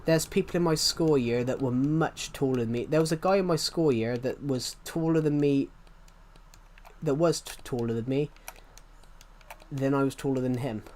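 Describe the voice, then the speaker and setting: A man's voice: a little high, darkish tone. A man in his twenties streaming, chatting casually and rambling, saying each phrase twice before moving on. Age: 20s. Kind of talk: rambling casual livestream chat.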